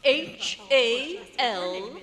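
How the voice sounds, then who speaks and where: A woman's voice: high-pitched, expressive, very slow, a bit bright, exaggerated voice. A woman playing a fussy, effusive society lady in an old-time radio drama, fluttery and gushing. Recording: echoey hotel hall live performance.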